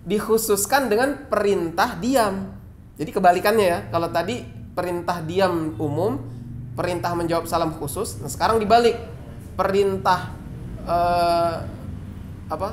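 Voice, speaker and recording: A man's voice: on the high side, slight background noise. An Indonesian man teaching Islamic jurisprudence, reading Arabic lines aloud and then explaining them in plain words, with a lot of repetition. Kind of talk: explaining and translating a classical Arabic text.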